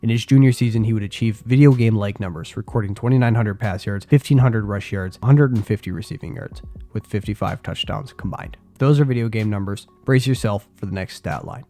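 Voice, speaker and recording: A man's voice: lowish voice, a little fast, hardly any pauses, warm tone. A young man with a congested voice narrating a scripted sports video, with casual asides to his viewers. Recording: faint music behind voice.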